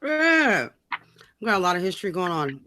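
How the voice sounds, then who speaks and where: A woman's voice: lowish voice, big pitch swings, normal speed, a crowd in the background. A woman co-hosting a casual talk show, speaking bluntly and colloquially with opinions. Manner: blunt, colloquial, swears freely.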